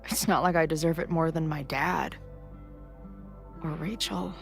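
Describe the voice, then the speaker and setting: A woman's voice: deep voice, fairly even intonation, a little slow, creaky, over music. An actress playing a weary, wry young woman resigned to death, plain-spoken and sardonic, in naturalistic video game dialogue. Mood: weary, self-sacrificing.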